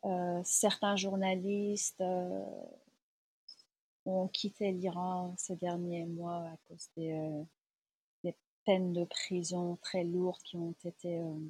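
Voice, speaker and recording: A woman's voice: lowish voice, a little mellow, slightly rough, low-quality recording. A middle-aged woman from Iran with an Iranian accent, speaking hesitantly as a journalist giving a reflective interview and searching for her words. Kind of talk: reflective spoken interview testimony.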